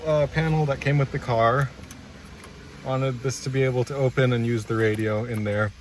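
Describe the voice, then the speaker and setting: A man with a mid-range voice presents a casual DIY walkthrough. He explains methodically, one step at a time, while he works. He is recorded inside a car, with clear noise behind his voice. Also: medium pitch.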